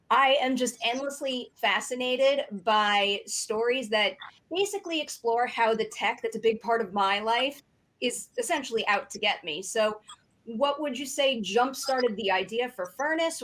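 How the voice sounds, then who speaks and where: A woman's voice: fairly high pitch, a bit bright, rough recording. A woman hosting a podcast interview, articulate and enthusiastic, moving between prepared introductions and questions. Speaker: host.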